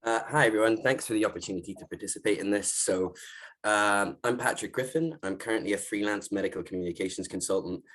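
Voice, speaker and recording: Man, thirties, deep voice, consultant giving a clear explanatory self-introduction. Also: fast, fairly bright tone, rough recording. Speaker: freelance medical communications consultant, panelist. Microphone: video call.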